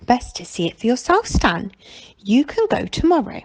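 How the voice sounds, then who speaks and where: A woman voicing a gruff, wistful fairground stallholder man in a storybook, talking in folksy dialect. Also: fairly high pitch, sing-song, moderate pace, a little mellow, rough recording. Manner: folksy dialect speech, marvelling.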